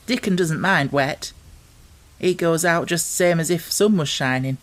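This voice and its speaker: A man's voice: sits high, natural voice. A man voicing a stubborn, talkative young Yorkshire housemaid with homely dialect in an audiobook. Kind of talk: audiobook character voice.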